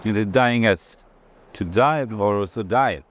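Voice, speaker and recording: A man's voice: lowish voice, slow pace. A man with a French accent chatting casually on an art fair tour, informal and often searching for words. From France. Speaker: art institution director in conversation.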